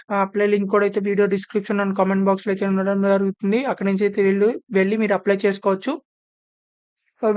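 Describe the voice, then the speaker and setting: A woman's voice: medium pitch, darkish tone, clear, resonant tone. A woman presenting an informal explainer voice-over, repeating her phrasing and mixing in many English terms. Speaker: presenter of job update videos. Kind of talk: informal explainer narration of a job notification.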